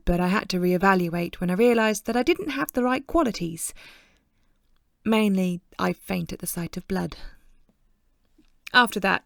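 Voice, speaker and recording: A woman's voice: on the high side, no echo, clean studio sound. A woman playing a self-deprecating photojournalist, narrating her life in a wry, confessional audio drama monologue.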